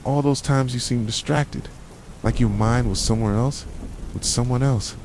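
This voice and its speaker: A man playing a possessive, jealous boyfriend in an ASMR roleplay, speaking softly in an intense, accusing, dramatic way. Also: mid-range voice, normal speed, natural voice. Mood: agitated, jealous. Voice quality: soft voice. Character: possessive, jealous yandere boyfriend confronting his partner. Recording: rain and thunder noise behind. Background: rain and thunderstorm ambience.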